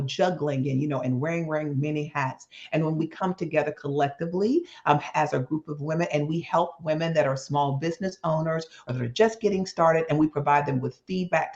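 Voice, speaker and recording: A woman's voice: deep voice, a little mellow, muffled recording. A woman speaking as an interview guest in an articulate, affirming, business-like way. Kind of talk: promotional group interview.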